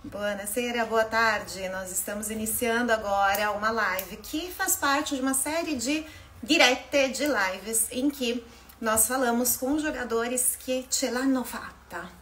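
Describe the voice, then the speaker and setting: A lively woman in her thirties hosts a livestream from a small home office, talking into a webcam at her desk. Her voice sits a little high, with a faint hum in the background. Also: a little slow. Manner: lively, mixes Italian words into Portuguese. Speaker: host. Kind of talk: casual live-stream interview.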